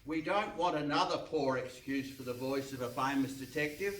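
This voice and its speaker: A man's voice: medium pitch, normal speed, a bit bright, natural voice. A man playing a fussy, exasperated critic in a live comic radio play. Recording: echoey hall, distant mic, faint noise.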